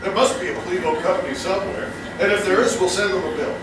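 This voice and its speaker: A high-voiced man performs comic patter like a mock commercial announcer. He is on a live stage, heard from a distance in a very echoey space. There is clear noise around him. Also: fairly even intonation, quick pace. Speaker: comedic guest performer in a live stage show. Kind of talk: scripted comedy banter and mock sponsor commercial. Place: live stage in a theater, before an audience. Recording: very echoey hall, distant mic, noisy.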